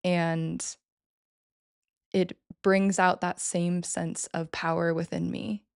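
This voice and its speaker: A woman's voice: on the low side, little pitch movement, slow, vocal fry, clear, resonant tone, no echo, clean studio sound. A woman guest in a casual interview, talking enthusiastically with many fillers and restarts. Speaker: podcast guest.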